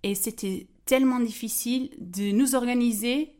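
A woman's voice: a little high, moderate pace, mellow. A woman in her twenties from Portugal, speaking French with a Portuguese accent as a non-native, telling a personal story hesitantly with many fillers and restarts. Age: 20s. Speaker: guest storyteller. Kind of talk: casual personal testimony.

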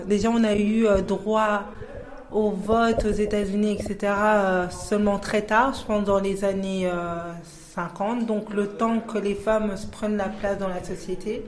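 Female vox-pop interviewee, medium register, explanatory, thoughtful with hesitations. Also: mid-range voice. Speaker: street interviewee. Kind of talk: spontaneous opinion, explanatory.

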